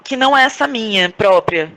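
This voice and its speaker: Brazilian woman, slightly high voice, reflective guest. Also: animated, moderate pace, bright, forceful tone, low-quality recording. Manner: searching, repeats words while thinking. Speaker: podcast guest, playwright and performer. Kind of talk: reflective conversational interview.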